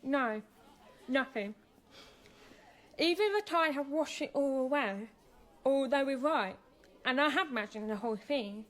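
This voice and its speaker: A woman's voice: sits high, a little slow, pauses often. An actress playing a stubborn, sullen deaf teenage girl in a naturalistic radio drama, speaking bluntly. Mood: searching. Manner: blunt, terse.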